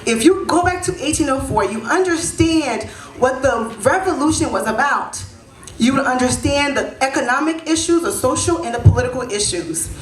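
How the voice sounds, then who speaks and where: A woman's voice: sits high, lively intonation, moderate pace. A woman in her thirties, born in Haiti and raised in Florida, hosting a community heritage event and speaking to the crowd in a conversational way, often asking "right?". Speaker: event host and senior advisor. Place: event hall. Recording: echoey hall.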